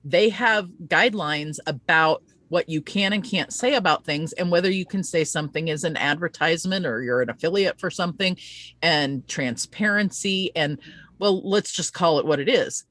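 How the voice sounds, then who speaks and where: A woman's voice: fairly low pitch, normal speed, fairly bright tone, dry recording. Woman interviewer with a dry, close sound. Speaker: interview host. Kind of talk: conversational interview.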